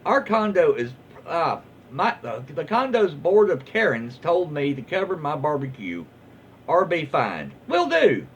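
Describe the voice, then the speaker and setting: A man's voice: fairly high pitch, wildly swooping pitch, a little slow, slightly rough. A man with a Southern American accent casually reading online stories aloud, folksy and informal, stumbling over words and adding off-the-cuff commentary. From southern United States. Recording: muffled lo-fi audio, faint background noise.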